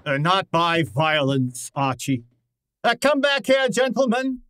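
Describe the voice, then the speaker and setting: A man acts out an imperious radio-drama detective with a high voice. He talks in formal, ornate phrases, curt and easily exasperated. The sound is dry and close. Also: sing-song, measured pace, a bit bright, no echo. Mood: stern, commanding. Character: pompous, gruff, money-minded male detective, curt and imperious. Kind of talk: old-time radio drama acting.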